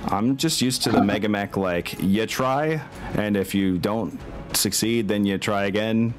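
A man's voice: on the low side, measured pace. A man talking casually as game master of a tabletop wargame. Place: indoor room, online group game stream. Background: music.

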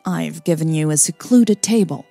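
A woman's voice: low voice, normal speed, vocal fry, mellow, no echo, soft background music. Woman voicing a formal, deferential male restaurant host.

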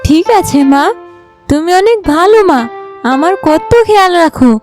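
A woman voicing a gentle, devoted young daughter in an animated fairy tale. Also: extremely high pitch, normal speed, faint music behind. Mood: tender.

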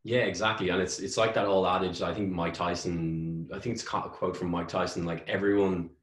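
A man's voice: sits low, steady tone, brisk. A man in his thirties giving informal, emphatic advice as an interview guest on a video call. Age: 30s. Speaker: guest, customer success executive. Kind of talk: conversational interview answers. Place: small bare room at home, on a video call. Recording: echoey room on video call.

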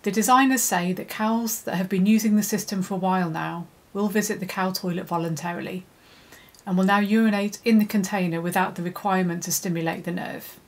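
A middle-aged woman, an environmental consultant, giving a measured, scripted educational presentation to camera in a studio. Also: mid-range voice. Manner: measured, clear, steady delivery. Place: indoor studio, plain background.

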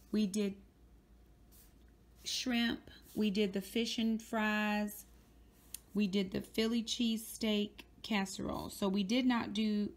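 Chatty woman, phone in kitchen. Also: mid-range voice. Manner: chatty, relaxed, with fillers and self-corrections. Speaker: vlogger. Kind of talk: casual grocery haul narration. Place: home kitchen.

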